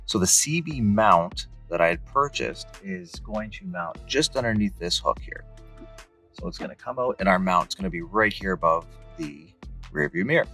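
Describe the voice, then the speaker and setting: A man's voice: low-pitched, lively intonation, normal speed, sharp tone, slightly rough, soft background music. A man in his thirties explaining a hands-on install step by step in a casual, plain-spoken way. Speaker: host of a DIY Jeep how-to channel. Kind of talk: casual step-by-step tutorial narration. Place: indoor garage with high ceiling, in and around a car.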